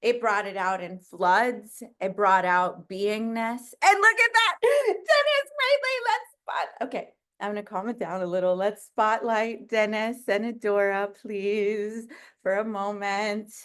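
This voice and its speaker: A woman hosts a video call with a loud voice at a middle pitch. She talks slowly and effusively, trailing off and starting her sentences over. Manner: effusive, hesitant, with many restarts. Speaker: host. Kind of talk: casual hosting chat.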